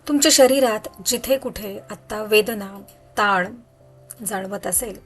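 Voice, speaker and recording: Woman, guided meditation narration, soothing and instructional, unhurried phrasing, medium pitch, background music. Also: breathy edge.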